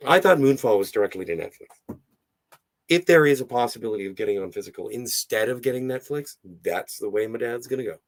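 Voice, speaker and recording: A hoarse man hosting a casual livestream, rambling and easily sidetracked as he chats and reads out viewer comments. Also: medium pitch, animated, fast. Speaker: livestream host.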